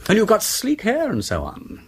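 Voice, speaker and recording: An actor playing a flippant, witty English aristocrat detective in a radio drama, urbane and drawling, teasing with mock politeness. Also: male voice, high voice, huge pitch swings, normal speed, a little hiss or hum, full character voice. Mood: breezy, pointed. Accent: upper-class English.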